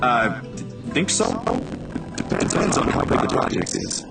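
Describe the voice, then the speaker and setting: A man's voice: mid-range voice, big pitch swings, measured pace. A man playing a polite, modest young freelance photographer, hesitant and slightly awkward, in visual novel dialogue. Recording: roomy echo under loud music.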